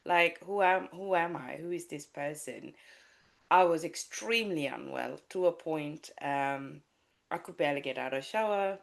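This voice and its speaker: A woman's voice: low-pitched, moderate pace, creaky. A woman from Sri Lanka, a life coach, telling her personal story candidly in a podcast interview, with many restarts and fillers. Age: adult with a child.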